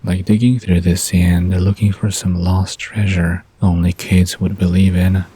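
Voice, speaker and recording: A man's voice: sits low, little pitch movement, measured pace, mellow, husky, no echo, exaggerated voice. A man playing an amused, teasing young man with a laid-back manner in a romantic audio drama.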